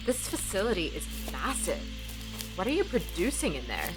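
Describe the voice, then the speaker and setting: A woman's voice: medium pitch, very animated, moderate pace, music in the background, close to an everyday voice, rough recording. A woman playing a bold, suspicious adventuring companion in an audio drama. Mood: defiant.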